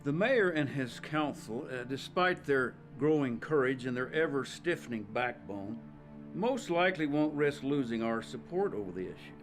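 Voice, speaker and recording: A man playing a courteous, composed man of influence in a film drama, speaking formally and deliberately. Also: mid-range voice, expressive, close to an everyday voice. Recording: music behind the voice.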